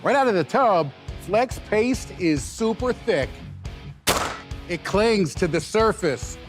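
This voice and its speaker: A man giving a scripted TV infomercial pitch with an emphatic sales delivery. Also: animated, slow pace. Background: guitar music.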